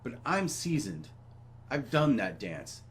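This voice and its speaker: A man's voice: mid-range voice, sing-song, slow, a little hiss or hum. A man chatting casually about games as a podcast host, complaining bluntly and sardonically with plenty of swearing. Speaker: video game podcast host.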